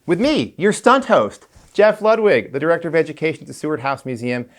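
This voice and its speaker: A man's voice: on the high side, animated, fairly quick, reverberant. A man presenting to camera as a playful, self-aware museum education host.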